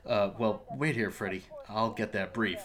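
Actor as a worried boyfriend in echoing space. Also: male voice, lowish voice, steady tone, moderate pace, a crowd in the background, close to an everyday voice. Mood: friendly. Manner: hesitant, casual, puzzled. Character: worried, mild-mannered boyfriend, casual regular guy. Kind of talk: audio drama acting. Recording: roomy, long echoing reverb.

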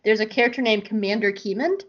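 A woman's voice: mid-range voice, quick pace. This is a woman hosting a casual podcast in an eager, gushy way, cursing freely as she chats. Her voice sits in an ordinary middle range. The recording is dull and somewhat lo-fi. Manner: gushing, excitable, swears and laughs often. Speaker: podcast host. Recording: dull lo-fi sound.

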